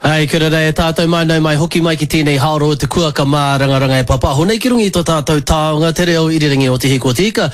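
A man hosting a radio interview, relaxed and friendly, moving easily between Māori and English. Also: fairly high pitch, racing, hardly any pauses, soft background music. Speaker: radio host.

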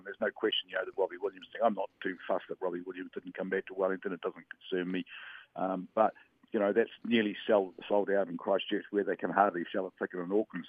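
A man with a lowish voice calls in over a thin, tinny phone line that sounds dry and close. He rambles through casual sports talk, hesitating and restarting with lots of fillers. He grew up in Western Samoa. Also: dry recording.

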